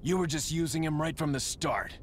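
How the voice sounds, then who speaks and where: An actor playing a cool, defiant young American action hero in a video game, with a terse, wry delivery. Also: male voice, a little high, brisk, close to an everyday voice. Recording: game audio under loud background noise.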